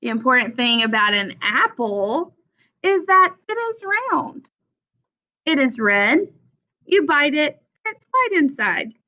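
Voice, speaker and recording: A young adult woman reading a children's picture book aloud with simple, deliberate phrasing for young listeners. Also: high-pitched, sing-song, sharp tone. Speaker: read-aloud presenter for children. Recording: dull, lo-fi sound.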